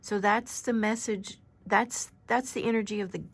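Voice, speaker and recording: A very soft-spoken woman in her fifties, giving an unscripted oracle card reading with gentle, halting delivery and frequent pauses. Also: mid-range voice, fairly even intonation, background noise. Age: 50s.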